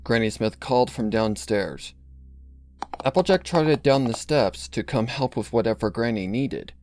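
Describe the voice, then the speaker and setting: A man's voice: medium pitch, lively intonation, measured pace, a little mellow, faint music behind. A man reading scripted story narration as the narrator of a piece of fan fiction.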